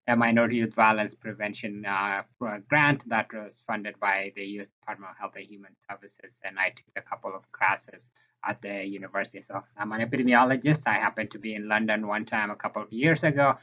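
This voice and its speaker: A man with an East African accent giving an informal slide presentation as a researcher, speaking haltingly with frequent hesitations and restarts. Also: fairly low pitch, little pitch movement. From South Sudan, grew up in Ethiopia and Kenya. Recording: dull, muffled lo-fi audio.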